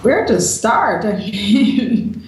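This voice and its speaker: A woman from Guyana answers interview questions thoughtfully, pausing often with ums. Her voice sits at a middle pitch and rings out in a very echoey room. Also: expressive. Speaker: lawyer, interview guest.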